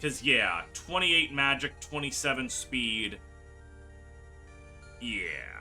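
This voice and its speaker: A man hosting a game playthrough, commentating with exclamations and drawn-out words for emphasis. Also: medium pitch, very animated. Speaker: gaming video host. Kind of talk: playthrough commentary, reading game dialogue aloud. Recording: music behind the voice. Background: game music.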